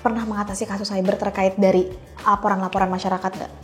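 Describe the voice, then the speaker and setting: A woman's voice: mid-range voice, little pitch movement, quick pace, faint music behind. A young woman hosting a podcast, asking questions in a casual, informal way with English words mixed in. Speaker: podcast host. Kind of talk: casual chatty interviewing.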